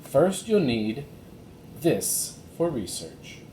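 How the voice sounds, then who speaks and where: A man voicing a kindly, mysterious enchantress librarian in a storybook, in a calm, measured manner. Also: medium pitch, reverberant, a little hiss or hum, pitched above the performer's own voice. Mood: instructing.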